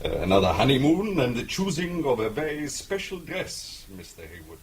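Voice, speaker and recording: Radio drama: male actor as wily police captain, genial yet probing, lively delivery, slight background noise. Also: medium pitch, moderate pace, lightly acted. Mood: mock-genial. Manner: chuckling, mock-polite, probing. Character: jovial, sly Austrian police captain, male.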